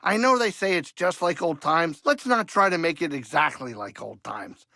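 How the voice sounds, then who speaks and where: An actor playing a wisecracking older alien pilot and cook in a video game, grumbling and blustery but warm-hearted. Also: male voice, fairly high pitch, animated, normal speed, vocal fry, no echo, studio quality, full character voice. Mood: wry banter. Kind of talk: video game character acting.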